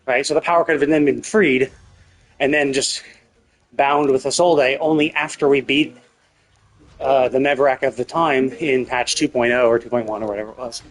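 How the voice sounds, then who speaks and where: A man with a slightly husky voice streaming casual game commentary, thinking aloud in a questioning, rambling way. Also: mid-range voice, fairly even intonation, moderate pace. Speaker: live streamer.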